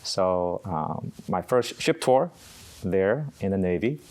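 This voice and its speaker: Unhurried, halting middle-aged man from Korea. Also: sits low, expressive, darkish tone. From South Korea. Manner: unhurried, halting with frequent uh pauses. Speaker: Navy chaplain, guest. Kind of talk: conversational interview answers.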